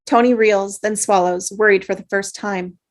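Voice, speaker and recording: A woman's voice: mid-range voice, steady tone, normal speed. A woman narrator reading screenplay action and scene headings, sometimes stumbling and rereading phrases. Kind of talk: scripted narration, reading stage directions.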